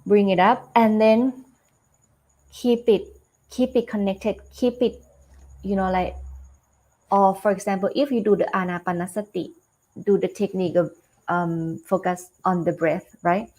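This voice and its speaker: A Buddhist nun with a Thai accent, giving a calm dharma talk over a video call, unhurried with fillers and restarts. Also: female voice, mid-range voice, low-quality recording. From Thailand. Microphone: video call webcam.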